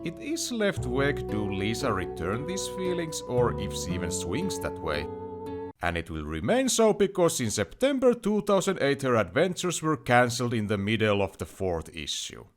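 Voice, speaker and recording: A man's voice: medium pitch, wildly swooping pitch, normal speed, music in the background. A man reading a scripted review as narration, opinionated and given to wry asides.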